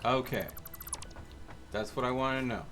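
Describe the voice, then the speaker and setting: A man's voice: medium pitch, steady tone, unhurried, fairly bright tone, soft background music. A man in casual gameplay chat with co-players, thinking aloud and explaining as he goes. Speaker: gaming streamer, host.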